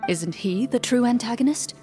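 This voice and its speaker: A woman playing a composed, principled duelist in a video game, speaking in a measured, firm and understated way. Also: on the high side, animated, music in the background, close to an everyday voice.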